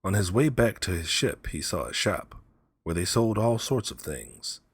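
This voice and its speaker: Very deep, husky male storyteller. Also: lively intonation, normal speed. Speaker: audiobook narrator, story reader. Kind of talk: scripted storybook narration.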